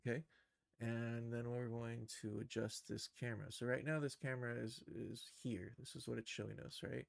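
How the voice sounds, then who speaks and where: A man thinking aloud through a casual live software tutorial, in an informal, musing, tentative manner. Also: mid-range voice, level tone, moderate pace, creaky, no room sound. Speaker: streamer teaching animation software.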